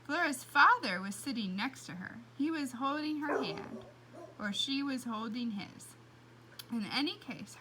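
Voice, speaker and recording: A woman reading a children's novel aloud as an expressive storyteller. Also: sits high, rough recording. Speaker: reader.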